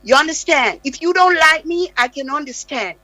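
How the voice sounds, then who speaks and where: A woman's voice: high voice, expressive, normal speed, pushed and bright. A Jamaican woman guest on a live radio interview, speaking with passionate, insistent emphasis. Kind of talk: heated interview talk. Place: radio studio.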